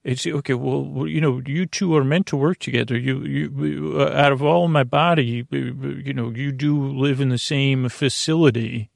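A man with a mid-pitched voice full of vocal fry hosts a sleep podcast. He wanders through a whimsical monologue, stumbling and doubling back on his words while keeping the listener reassured and accompanied. The sound is dry, with no room around it. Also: fairly even intonation, no echo. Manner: halting, meandering, stammering and repeating words; reassuring, companionable. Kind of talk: rambling, whimsical bedtime monologue.

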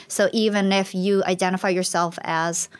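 A woman's voice: mid-range voice, little pitch movement, moderate pace, clear, resonant tone. A woman researcher explaining her work in an interview, thoughtful and explanatory, often restarting her sentences. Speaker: researcher guest. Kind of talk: academic interview talk.

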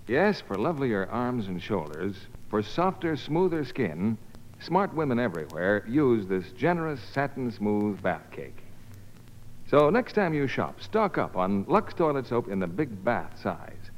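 An American man giving a polished 1950s radio sponsor pitch as an announcer. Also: sing-song, a little slow. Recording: muffled noisy old radio, long hall reverb.

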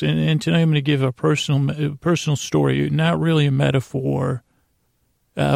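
A man hosting a sleep podcast in a rambling bedtime monologue, soothing and lulling, halting and repeating his words, with a gravelly voice. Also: medium pitch, a little mellow, dry recording, clean studio sound. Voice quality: gravelly, creaky.

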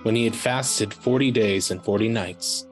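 A man giving a scripted, dramatic scripture narration with measured, solemn, deliberate phrasing. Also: fairly low pitch, poor audio. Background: faint music.

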